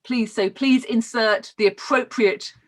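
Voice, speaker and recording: A woman's voice: a little high, fairly even intonation, a little slow. A woman with a British accent teaching child development in an informal lesson, explaining points and checking in with her learners.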